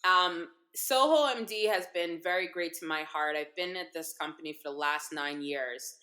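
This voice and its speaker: A woman's voice: lowish voice, normal speed, sharp tone. A woman in her thirties from Guyana who grew up partly in America, chatting casually and emphatically as co-host, repeating phrases for stress. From Guyana, grew up partly in the United States. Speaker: co-host of a cooking show. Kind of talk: casual warm conversation with her mother. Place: living room, seated on a sofa. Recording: roomy living-room echo.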